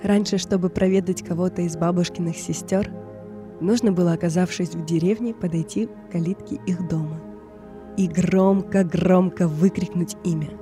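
A woman reading a scripted personal story in a measured, reflective way, in short phrases. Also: lowish voice, steady tone. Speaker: narrator of an audio performance. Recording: music bed under the voice. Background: music.

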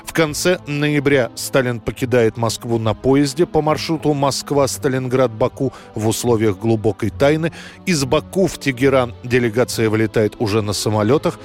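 A man narrating a scripted history programme in a measured, storytelling way. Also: mid-range voice, soft background music.

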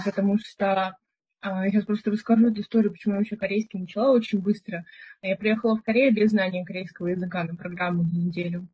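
A young woman co-hosting a podcast, telling stories in a chatty, relaxed way with lots of filler words. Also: mid-range voice, slightly rough. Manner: chatty storyteller, many fillers. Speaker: podcast co-host. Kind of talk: casual chat. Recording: dull, muffled recording.

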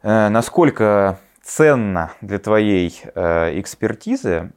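Low-voiced man, hesitant podcast co-host. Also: big pitch swings, slow, a little mellow, smooth. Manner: thoughtful, hesitant, many fillers. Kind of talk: casual discussion.

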